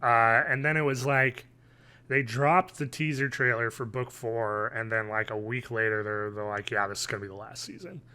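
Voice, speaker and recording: Bright-voiced man, halting. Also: medium pitch, fairly even intonation, clean tone. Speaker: podcast co-host. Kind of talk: casual review chat. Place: home living room, video call.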